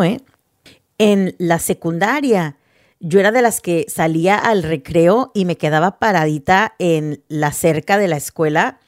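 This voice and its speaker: A woman's voice: lowish voice, a little mellow, no echo, clean studio sound. A woman from the United States tells personal stories casually and candidly, mixing in English words. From United States (New Jersey, later Texas). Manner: candid, conversational, mixes English words into Spanish.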